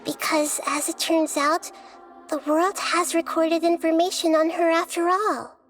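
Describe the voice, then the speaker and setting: A woman voicing a gentle, thoughtful young-seeming goddess of wisdom in a game cutscene, explaining things calmly and carefully. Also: extremely high pitch, music in the background. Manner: calm, measured, explanatory.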